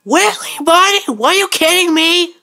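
A woman giving casual, spontaneous reactions to a video in short, excitable exclamations, recorded in a room at home. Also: very high voice, measured pace, gravelly. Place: indoor room at home, on a bed.

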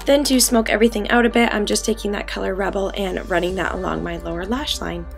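Raspy young woman with a cold. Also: mid-range voice, steady tone, fast talker, faint music behind. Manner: chatty, many ums and likes. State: getting over a cold. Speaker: beauty vlogger. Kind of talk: casual makeup tutorial talk. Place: bedroom, indoors.

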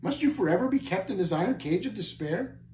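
A man voicing an earnest, tender-hearted pilgrim in a storybook tale, gentle and pleading. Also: high voice, expressive, fast, voice pushed up. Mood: gentle concern. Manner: gentle, pleading, old-fashioned storybook phrasing. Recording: roomy echo, faint background noise.

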